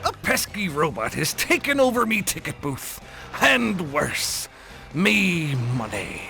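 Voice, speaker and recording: A gravelly, forceful old sea-dog crab, greedy and gruff with a pirate accent. The voice swings widely, with faint music behind it in a game cutscene. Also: male voice, sits high, very animated, takes it slowly, exaggerated voice. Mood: aggrieved, pleading.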